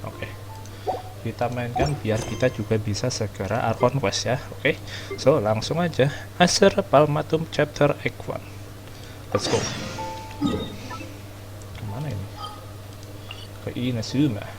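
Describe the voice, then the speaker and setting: A man's voice: medium pitch, normal speed, long pauses, poor audio. Casual man streaming over music. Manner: informal, slangy, addressing viewers. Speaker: gaming streamer. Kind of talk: casual let's play commentary.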